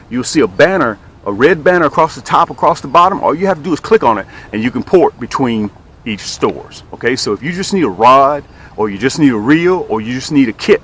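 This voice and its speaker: A man hosting a fishing show outdoors, chatting in an exclamatory way and repeating his phrases for emphasis as he demonstrates tackle. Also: medium pitch. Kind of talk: excited fishing vlog chat, demonstrating and selling tackle.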